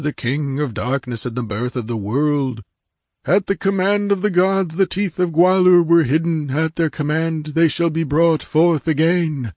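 A man voicing a fanatical high priest from a fantasy audiobook, solemn, resonant and declamatory as he invokes his goddess. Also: a little mellow, no room sound, clean studio sound.

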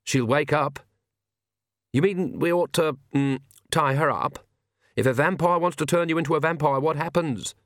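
A man's voice: fairly high pitch, moderate pace, dry recording, pitched above the performer's own voice, natural voice. A man playing an anxious man of religion in an audiobook story, hesitant and stammering. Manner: hesitant, stammering with ums and uhs.

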